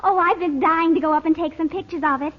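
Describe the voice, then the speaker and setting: An excitable young girl in an old radio serial. Her voice is extremely high, breezy and girlish, full of enthusiasm. The recording is dull. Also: fast. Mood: eager, excited. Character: Betty, eager, excitable young niece.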